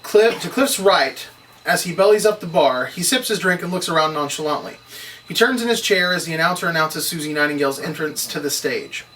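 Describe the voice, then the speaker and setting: A man's voice: on the high side, expressive, a little fast, sharp tone. A man reading stage directions as scripted narration at a drama table read. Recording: distant microphone, noisy room.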